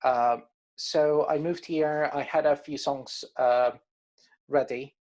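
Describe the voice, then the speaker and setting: Man, hesitant and halting. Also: on the high side, fairly even intonation, darkish tone. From Caribbean coast of Colombia. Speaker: band musician being interviewed. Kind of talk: casual interview chat.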